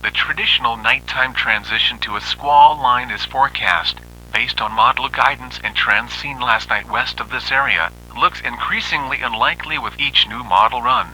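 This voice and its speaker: A male weather radio announcer with a very bright, forceful tone reads a formal scripted bulletin. His delivery is impersonal and official. There is faint noise and buzz on the recording. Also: mid-range voice, moderate pace, hardly any pauses, low-quality recording.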